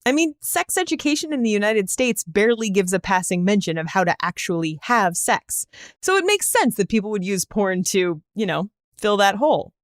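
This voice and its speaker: A woman's voice: mid-range voice, lively intonation, moderate pace, no echo, studio quality. A woman hosting a podcast, speaking in a conversational interviewing and explaining style. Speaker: podcast host.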